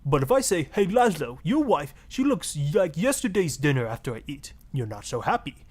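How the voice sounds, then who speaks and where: Suave, sleazy Spanish-accented guru. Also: male voice, fairly high pitch, sing-song, moderate pace, a little mellow, slightly rough. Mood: passionate, wounded. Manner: florid, seductive, pompous. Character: suave, sleazy Latin male marriage guru, grandly passionate. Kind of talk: satirical video game radio acting.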